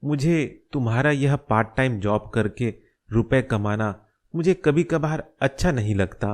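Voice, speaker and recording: A man voicing a caring, concerned middle-aged father in a cartoon story, giving gentle advice. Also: medium pitch, a little slow, lightly acted. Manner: gentle, advising. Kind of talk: Hindi cartoon story dialogue.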